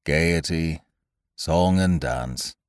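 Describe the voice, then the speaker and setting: A gloomy old grey donkey, put on by a man reading a storybook, his voice sunk low and muttered softly. He drags out each word in a mournful, self-pitying sigh, on a dry, close recording. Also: extremely slow, clean tone, no room sound, heavily acted. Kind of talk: audiobook character voice.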